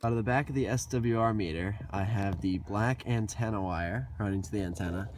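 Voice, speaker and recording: A man with a fairly low voice narrates a do-it-yourself tutorial. He talks casually and plainly, going step by step through what he is doing. The homemade recording has clear noise and some clicking behind him. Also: fairly quick, darkish tone. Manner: plainspoken, explaining each step as he works. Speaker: DIY tutorial host. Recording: clearly noisy hobby video with clicks.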